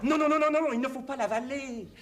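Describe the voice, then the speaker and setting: Patient, instructive pharmacist, a man with a high voice. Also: fairly quick, a bit bright. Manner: patient, instructive, mildly exasperated. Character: patient, fussy male pharmacist. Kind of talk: scripted comedy language sketch.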